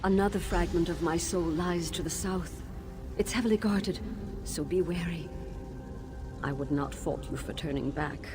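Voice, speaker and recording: An actress playing the mournful, solemn ghost of a wronged wife and former teacher in a fantasy video game. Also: female voice, lowish voice, moderate pace, music in the background, lightly acted. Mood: solemn, sorrowful.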